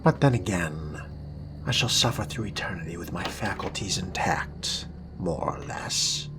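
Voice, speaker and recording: A man role-playing a haughty, weary vampire fledgling in a video game, muttering sardonic asides in a deliberate, often whispery way. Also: sits low, lively intonation, noisy background. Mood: weary, brooding. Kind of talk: in-character video game role-play commentary. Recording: music behind the voice.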